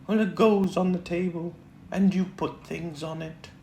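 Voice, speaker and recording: A reader doing a gentle, simple bear. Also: medium pitch, steady tone, creaky, voice pushed up. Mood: mild. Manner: mild, patient, slow. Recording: roomy echo, faint background noise.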